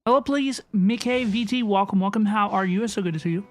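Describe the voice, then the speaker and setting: A woman hosts a gaming livestream in a casual, chatty way. Her voice sits at a middle pitch and she often repeats a phrase for emphasis. Music plays behind her. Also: fast talker. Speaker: livestream host. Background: game music.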